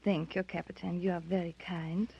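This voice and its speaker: A woman's voice: on the low side, little pitch movement, normal speed, creaky, heavy background noise. An actress playing an anxious, refined married woman in fear of a villain, in earnest, formal 1930s radio drama style. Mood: polite, worried. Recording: dull, muffled vintage radio recording.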